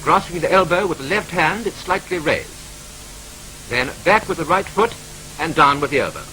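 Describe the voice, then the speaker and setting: A British man narrates a wartime training film in a clipped, wry newsreel manner. His voice is bright and forceful and swings up and down. A faint hiss of an old film soundtrack runs beneath him. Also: fairly high pitch, lively intonation, poor audio. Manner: brisk, clipped, wryly matter-of-fact.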